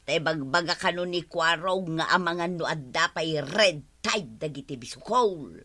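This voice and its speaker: A woman acting a hesitant but willing village schemer with an Ilocano accent, animated and exclamatory, in a comedy radio drama. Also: low-pitched, moderate pace. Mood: worried, persuading.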